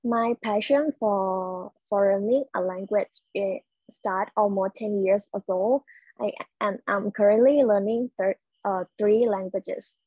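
A woman, a student answering job interview questions in a careful, halting way over a video call. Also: medium pitch, husky, no echo, dull audio. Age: 20s. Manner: careful, halting, restarts words.

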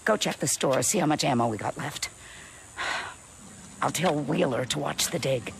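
A male actor playing a hard-driving, short-tempered camp leader in a video game, commanding and impatient, with a gravelly creak. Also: fairly high pitch, moderate pace, a little hiss or hum. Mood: brisk, commanding. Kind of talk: video game cutscene acting.

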